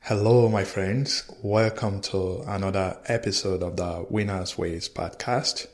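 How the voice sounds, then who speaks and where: A man's voice: sits low, steady tone, a little slow, faint music behind. A man hosting a podcast as a life coach, enthusiastic and earnest, with some hesitations. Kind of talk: upbeat podcast interview. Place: lives in Houston. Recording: roomy echo.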